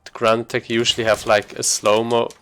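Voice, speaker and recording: A man's voice: medium pitch, completely flat delivery, a little fast, fairly bright tone, clean tone. A man giving casual, explanatory gameplay commentary as a speedrunner.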